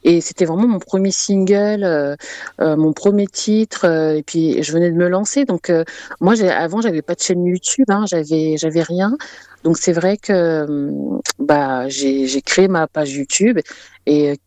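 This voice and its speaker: A woman's voice: fairly low pitch, measured pace, warm tone, dry recording. A woman singer-songwriter talking casually as an interview guest, with hesitant, conversational phrasing.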